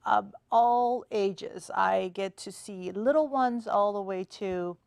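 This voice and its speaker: A woman's voice: mid-range voice, creaky, a little mellow, no echo. Middle-aged woman, dry studio sound. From Iran. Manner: measured, many "uh" and "um" pauses. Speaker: podiatrist guest. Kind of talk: TV studio interview. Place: TV studio set.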